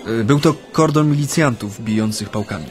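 Male actor as earnest Japanese man, radio play, reflective, halting, mid-pitched voice, recollecting activist days. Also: close to an everyday voice. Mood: earnest recounting. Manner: hesitant, reflective, with frequent hums and pauses. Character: earnest Japanese man recalling his Solidarity-era activism. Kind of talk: radio drama, conversational recollection.

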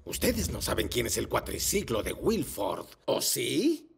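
An actor voicing a proud, boastful old storytelling motorcycle in a cartoon, with a gravelly, theatrical delivery and a Latin American Spanish accent. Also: medium pitch, wildly swooping pitch, exaggerated voice. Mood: showy, proud. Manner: theatrical, drawn-out emphasis. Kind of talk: animated children's cartoon dub.

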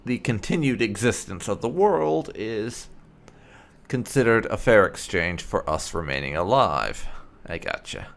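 A man's voice: lowish voice, animated, measured pace, gravelly, a bit bright. A man hosting a roleplay game playthrough, commenting and voicing his choices in a casual way. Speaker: gaming host. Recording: game ambience faintly behind voice.

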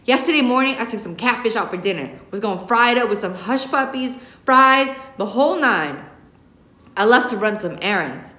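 A woman's voice: a little high, animated, normal speed, sharp tone. A woman acting a bossy, dramatic grandmother, echoey. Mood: complaining. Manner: emphatic, bossy, theatrical. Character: dramatic, cranky, cursing grandmother, retired theater teacher. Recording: roomy classroom echo.

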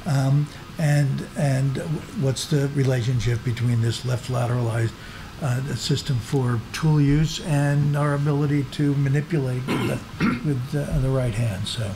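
A man's voice: mid-range voice, fairly even intonation, measured pace, background noise. A man speaking on an academic panel, explaining ideas in a thoughtful way, with some fillers. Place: large meeting room with seated audience. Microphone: table microphone.

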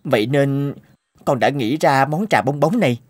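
A man's voice: mid-range voice, expressive, moderate pace, a little mellow, slightly rough, no room sound. A man playing an earnest, polite young teahouse owner in a cartoon, explaining things respectfully. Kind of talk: Vietnamese cartoon dub.

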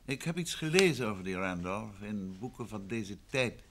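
A man's voice: lowish voice, animated, moderate pace, background noise. An actor playing a courteous, inquisitive male detective in an old Dutch radio drama, asking probing questions in a conversational way.

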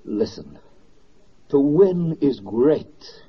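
A solemn 1940s radio narrator with an American accent. The voice is husky and the delivery is grand, emphatic oratory. It comes through a dull vintage recording. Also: male voice, mid-range voice, sing-song, very slow, heavily acted. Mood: urgent, exhorting. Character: solemn, stirring male narrator addressing soldiers and sailors.

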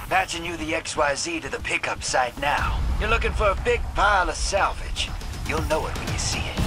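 A sly, streetwise survivor talks like he is letting you in on a scheme. His pitch swings with folksy, slangy swagger, in video game style over clear background music. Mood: brisk, conspiratorial. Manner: drawling slangy swagger, conspiratorial. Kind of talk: video game character dialogue.

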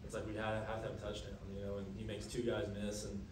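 A young man answering questions at a postgame press conference, earnest and quick, with lots of fillers. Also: fairly low pitch, monotone, background noise. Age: young adult. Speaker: college quarterback. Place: press room, seated at a table. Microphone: table mic, camera at a distance. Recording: echoey room, from a distance.

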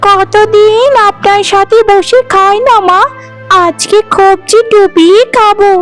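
A woman's voice: extremely high pitch, fairly even intonation, slow pace, a bit bright, faint music behind, heavily acted. A woman voicing a greedy, scheming, bossy young village wife in a cartoon, domineering and wheedling by turns. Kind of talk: cartoon voice acting.

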